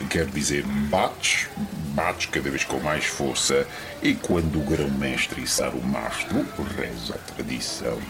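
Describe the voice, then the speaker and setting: A man's voice: rumbling low, noisy background. An actor playing a pompous, bawdy middle-aged landscape architect father in a radio soap, with a European Portuguese accent. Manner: pompous, wry, declamatory. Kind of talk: radio soap opera acting.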